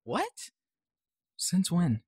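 A woman plays a scheming teenage boy in an audio drama. The delivery is sarcastic and exasperated, unhurried but with big pitch swings, in a dry, close recording. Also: huge pitch swings, a little mellow, slightly rough, no room sound, exaggerated voice.

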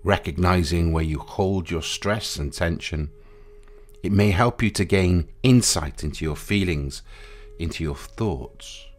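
A man guiding a meditation in a soothing, unhurried way, pausing between short phrases. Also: deep voice. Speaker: meditation guide. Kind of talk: guided meditation. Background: music.

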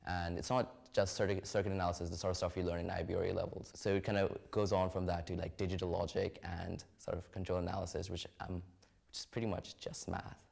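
A man's voice: low voice, level tone, brisk, vocal fry, a little mellow. A young man from Hong Kong, an engineering student talking casually in an interview. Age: young adult.

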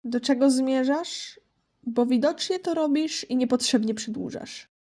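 Woman voicing a high, soft-toned snide little wolf. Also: measured pace. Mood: curt, impatient. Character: small young male wolf, sweet-looking but snide, guarded. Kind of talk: Polish amateur audio drama acting.

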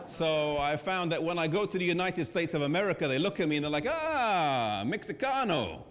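English pastor with hall echo. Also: male voice, on the high side, sing-song, a bit bright. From England. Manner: conversational, self-deprecating, with pauses and fillers. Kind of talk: sermon presentation. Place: church hall with a seated audience. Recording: long church hall reverb.

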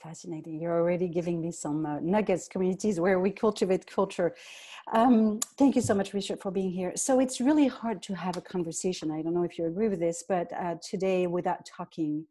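Woman podcast host, mellow mid-pitched voice, brief gracious moderation. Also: fairly even intonation, brisk. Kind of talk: hosting a video call podcast. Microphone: video call.

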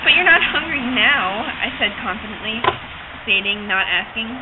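Young woman, amateur dramatic reader, slightly high pitch, giggly delivery, noisy tinny outdoor camcorder audio. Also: expressive, normal speed. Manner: theatrical, often breaking into giggles. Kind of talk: dramatic read-aloud of a novel, giggly and informal. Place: open-sided park picnic shelter outdoors. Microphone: camcorder across a picnic table.

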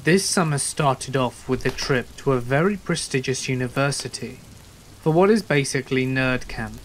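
A man's voice: medium pitch, moderate pace. A man reading a scripted first-person story as a narrator of scary tales. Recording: steady rain noise behind. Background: rain and thunderstorm ambience.

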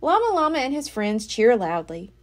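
Woman, children's storybook reader, higher-pitched, highly animated, expressive narration. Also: moderate pace. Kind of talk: expressive read-aloud of a children's picture book.

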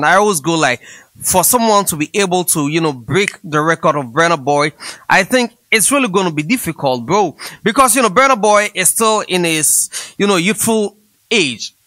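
A young man with a Ghanaian accent talks casually about music to his viewers. His voice is bright, forceful and on the high side, and he drives points home by repeating them. The sound is dry and close on a studio mic. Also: dry recording. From Africa. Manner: emphatic, repeats his phrases. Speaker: host. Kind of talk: casual music commentary to camera. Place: small indoor room. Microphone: close studio microphone on boom arm.

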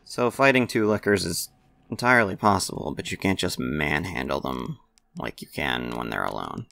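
A man's voice: sits low, moderate pace, creaky, bright, forceful tone. A man giving casual gameplay commentary, offhand and thinking aloud. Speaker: video game player and commentator.

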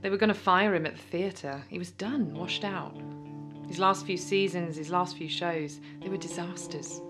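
An actress playing a bitter, scornful widow in an audio drama monologue, blunt and confessional with halting moments. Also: female voice, on the low side, over music, close to an everyday voice. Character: bitter, scornful second wife, now widowed.

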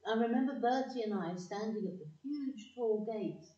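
Casting: woman as nostalgic memoir narrator, soft mellow tone, muffled slightly distant recording. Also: fairly high pitch, normal speed, close to an everyday voice. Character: grown woman fondly recalling her childhood. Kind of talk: scripted narration in audio play. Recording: dull, echoey, slightly distant.

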